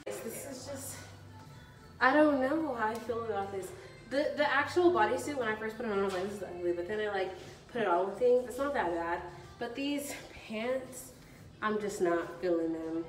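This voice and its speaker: A woman's voice: mid-range voice, moderate pace, faint music behind, rough recording. A young woman chatting casually to her phone camera for a vlog, rambling with lots of "like"s. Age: young adult. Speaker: vlogger.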